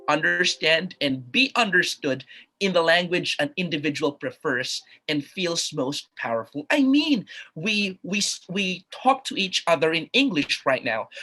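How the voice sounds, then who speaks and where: A man's voice: high-pitched, bright, forceful tone, slightly rough. A man from Luzon with a Filipino accent, giving an earnest academic conference talk full of rhetorical questions. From Philippines, Luzon. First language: a regional language of Luzon. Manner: earnest, emphatic, many restarts and rhetorical questions. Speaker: conference keynote speaker. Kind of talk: academic lecture over video call.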